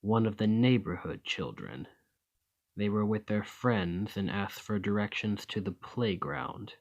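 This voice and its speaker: A man playing a weary, courtly, centuries-old vampire and former soldier in an ASMR roleplay, speaking in formal, old-fashioned, measured diction. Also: on the low side, lively intonation, gravelly, dull audio, exaggerated voice. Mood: wry, insistent.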